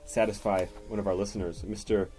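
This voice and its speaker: Low, mellow-voiced male podcast host. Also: brisk. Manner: relaxed and chatty, reads listener mail aloud. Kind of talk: casual podcast chat.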